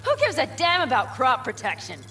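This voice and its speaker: A woman's voice: high-pitched, huge pitch swings, a little fast, fairly bright tone, slight background noise, heavily acted. An actress playing a headstrong, defiant young nomad woman in a video game, with an emphatic, sardonic delivery. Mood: sarcastic, indignant. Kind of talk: dramatic video game acting.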